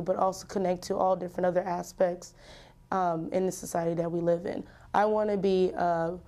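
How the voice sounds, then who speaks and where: A woman's voice: lowish voice, mellow. A young woman, a college student, giving an informal interview testimonial. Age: young adult.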